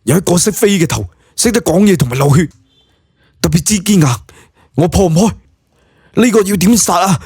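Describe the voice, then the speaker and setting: Audio drama hero, done by a male reader: blunt, cocky young Cantonese fighter, animated delivery, dry close sound. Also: high-pitched, a little fast, long pauses, slightly rough, dry recording, voice pushed up, full character voice. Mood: puzzled, urgent. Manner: blunt, exclamatory, casually dismissive.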